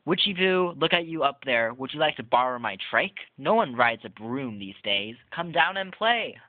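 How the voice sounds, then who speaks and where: A man's voice: medium pitch, sing-song, normal speed, dry recording, voice pushed up, close to an everyday voice. A man reading the lines of a playful, fearless little girl in a Halloween storybook, chirpy and coaxing. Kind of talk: storybook read-aloud. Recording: tinny phone-like lo-fi audio.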